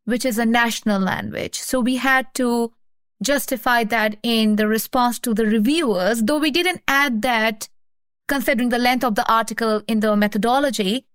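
A woman's voice: high-pitched, fairly bright tone, no echo. A woman from Pakistan, a researcher, earnestly explaining her work in a conversation and often repeating her phrases. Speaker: researcher, guest. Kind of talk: academic conversation.

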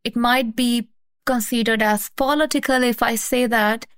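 A woman's voice: on the high side, steady tone, moderate pace, clear, resonant tone, dry recording. Earnest woman from Pakistan, dry sound. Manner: earnest, explanatory, repeats phrases. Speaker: researcher, guest. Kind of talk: academic conversation.

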